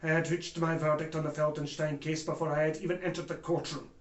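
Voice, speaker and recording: In an echoey room, a man acts out an anguished former German judge giving courtroom testimony. His voice sits fairly high for a man. He speaks in grave, deliberate, theatrical oratory, weighted with remorse. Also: little pitch movement, close to an everyday voice. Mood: solemn, remorseful. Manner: grave, deliberate oratory with swells from hushed to loud. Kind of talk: theatrical dramatic monologue, courtroom speech.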